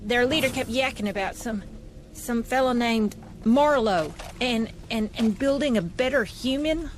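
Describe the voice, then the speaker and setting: Casting: woman for a shaken freed hostage in a sci-fi game, lightly high voice, halting and confused. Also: a little hiss or hum, natural voice. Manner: halting, stammering. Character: shaken, bewildered rescued civilian hostage. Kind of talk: video game voice acting.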